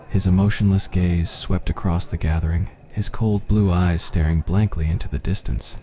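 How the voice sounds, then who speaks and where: Male narrator, low pitch, dark mellow tone, scripted ASMR storytelling.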